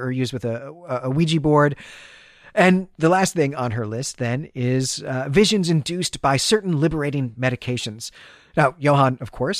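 A male podcast host with a medium-pitched voice talks through a story in a conversational recap. He explains things with enthusiasm. The sound is dry, with hardly any room to it. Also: no echo. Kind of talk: conversational literary recap with read-aloud passages.

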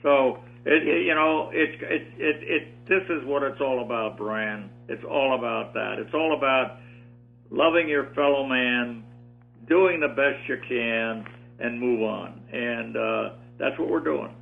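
Gravelly-voiced man near ninety, rambling phone-in guest, tinny line. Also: mid-range voice, measured pace, faint music behind. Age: 80s. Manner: rambling, repeats words, chatty. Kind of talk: casual phone interview. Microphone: telephone.

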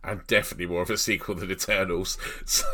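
Man, low voice, has a cold, wry, chuckly, meandering podcast chat. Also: level tone, fast talker, bright, forceful tone. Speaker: podcast co-host. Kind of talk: casual film review chat.

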